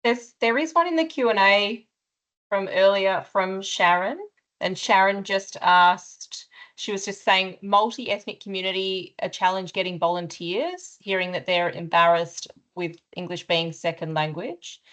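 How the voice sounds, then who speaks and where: A woman's voice: medium pitch, unhurried, a bit bright, low-quality recording. Woman reading out an audience question. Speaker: webinar team member reading questions. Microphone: video call.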